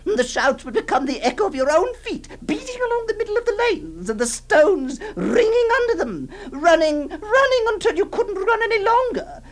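A woman acting a doting, possessive aunt who comforts a young girl in a hushed, tremulous, pleading voice, in a dramatic monologue. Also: very high voice, very animated, slow, full character voice. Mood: tearful.

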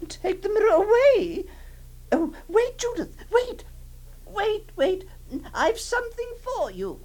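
A woman acting a doting, possessive aunt who comforts a young girl in a hushed, tremulous, pleading voice, in a dramatic monologue. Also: extremely high pitch, big pitch swings, slow pace, heavily acted. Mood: coaxing.

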